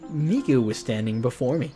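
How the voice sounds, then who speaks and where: A man's voice: mid-range voice, normal speed, warm tone. A man streaming a visual novel, reading it aloud expressively with casual asides. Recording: music behind.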